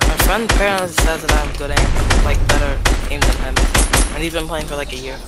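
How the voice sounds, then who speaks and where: A teenage boy from New York chatting quietly while gaming. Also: fairly high pitch, fairly even intonation, brisk. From United States. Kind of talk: casual gaming chat. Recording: loud game music behind.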